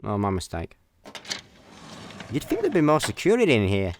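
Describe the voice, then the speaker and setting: A man's voice: on the low side, huge pitch swings, normal speed, slight background noise. A Scottish man playing a bumbling, chatty space layabout in a comedic radio play, rambling and exasperated. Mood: clueless, puzzled.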